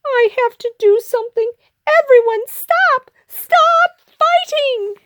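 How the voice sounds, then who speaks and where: A woman voicing a small, earnest, excitable prairie dog who pleads and bosses in a children's storybook. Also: extremely high pitch, slow, noisy background, pitched above the performer's own voice, heavily acted. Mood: alarmed, pleading. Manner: exclaiming, pleading and bossy. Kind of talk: animated children's storybook character voice.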